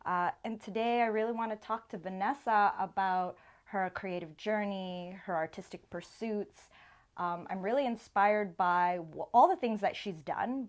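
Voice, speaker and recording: Woman, interview host, casual chat, effusive, informal phrasing, conversational. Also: medium pitch.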